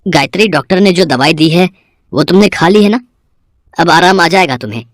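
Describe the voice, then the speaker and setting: An actor playing a kindly, concerned, devout father in a cartoon moral story, gentle and expressive. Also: a little high, moderate pace, dry recording, studio quality, lightly acted. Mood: worried.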